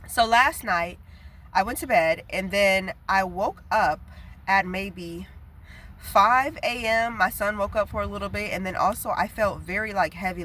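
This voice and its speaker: A young woman speaks in a bright, nasal voice, stuffed up from a head cold. She delivers a prophetic message in a casual, earnest way, rambling with fillers as she goes. Faint background noise sits under her voice. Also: fairly low pitch. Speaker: prophetic video preacher.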